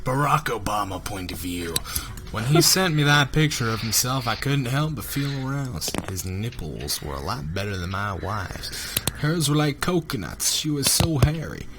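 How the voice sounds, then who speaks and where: A man reading a story aloud in a theatrical, comedic way, often dropping into a hushed, breathy delivery. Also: mid-range voice, big pitch swings, moderate pace, noisy background. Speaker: host reading fan fiction aloud to friends. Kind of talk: mock-dramatic comedic readalong.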